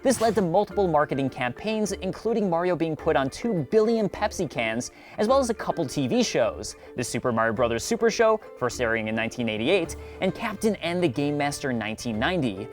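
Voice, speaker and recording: A man's voice: on the high side, animated, brisk, hardly any pauses. A man hosting and narrating a scripted video essay in an emphatic, conversational presenter style. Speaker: video host. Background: background music.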